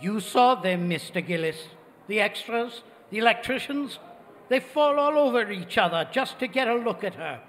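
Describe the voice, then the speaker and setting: A solemn and devoted butler, formal in every phrase. The voice sits high for a man and resounds through a theatre in an old-time radio play. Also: lively intonation, a bit bright, slight background noise. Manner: formal, deliberate. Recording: reverberant theatre stage recording.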